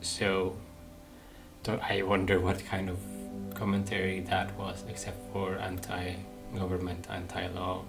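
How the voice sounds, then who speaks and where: A man's voice: deep voice, monotone, measured pace, gravelly. A man giving casual playthrough commentary, thinking aloud in a hesitant, musing way. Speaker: gaming video host. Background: game music.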